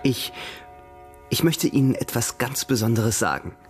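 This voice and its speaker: A man's voice: mid-range voice, animated, a little slow, soft background music, close to an everyday voice. An actor in a radio drama playing an eager, lovestruck male suitor, speaking courteously and effusively. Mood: pleading, eager.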